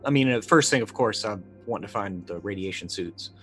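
A middle-aged man playing a tabletop roleplaying game, casually and haltingly describing what his character does, with music behind him. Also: on the low side, a bit bright. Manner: halting, thinking aloud. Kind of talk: casual chat, narrating his character's actions. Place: indoor room, video call. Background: background music.

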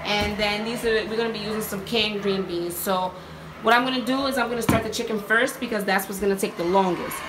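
A woman's voice: mid-range voice, steady tone, a little fast. Slangy young woman cooking at home. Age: young adult. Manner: slangy, chatty, talks straight to viewers. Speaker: cooking vlogger. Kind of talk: casual cooking demo. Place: home kitchen, indoors. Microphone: handheld phone camera. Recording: sizzling pan noise behind. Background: oil frying and sizzling.

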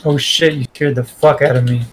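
A man's voice: mid-range voice, fairly even intonation, normal speed. A man chatting casually as a game streamer, swearing freely. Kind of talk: casual chat.

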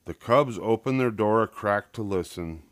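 Low-voiced older man reading a storybook. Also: moderate pace, no room sound. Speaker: storybook reader. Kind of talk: scripted children's storybook narration.

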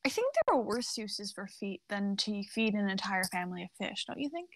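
A gentle, thoughtful mother in her early thirties, played by a woman in a dramatic reading. The voice is medium in pitch with plenty of creak, recorded very dry. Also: sing-song, brisk, no room sound. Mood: gentle, reassuring.

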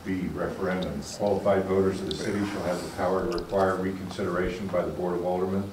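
Deep-voiced man reading aloud, far off. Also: quick pace. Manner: steady formal reading. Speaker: commission member. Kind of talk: reading a legal text aloud. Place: large meeting room indoors. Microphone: far camera. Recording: very echoey, distant room sound with noise.

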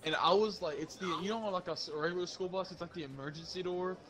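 A young man casually telling stories to friends while gaming, slangy and animated as he acts out the dialogue. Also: high-pitched, fast talker, a little hiss or hum. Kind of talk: casual storytelling among friends during online game.

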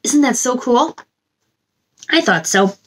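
A woman in her thirties speaking to young children as a story time host, with an expressive, simple delivery. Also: a little high, takes it slowly. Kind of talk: children's read-aloud and chat to young viewers.

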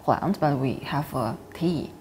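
A woman's voice: a bass voice, measured pace, darkish tone, a little hiss or hum. A middle-aged woman hosting a panel interview in simple, non-native English phrasing. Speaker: host. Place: large indoor cafe-like room.

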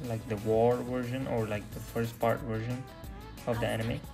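A young man giving casual gameplay commentary in a hesitant, halting way. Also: medium pitch, little pitch movement, gravelly. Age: young adult. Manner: hesitant, halting delivery with trailing phrases. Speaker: gaming video creator. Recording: loud music and game audio behind. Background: loud music.

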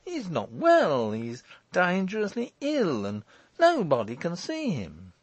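A man's voice: fairly high pitch, wildly swooping pitch, slow pace, no room sound, voice pushed up, heavily acted. A man voicing a sullen, evasive, nervous householder in an audiobook, hesitant and stammering as he schemes and blusters. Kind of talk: audiobook character voice.

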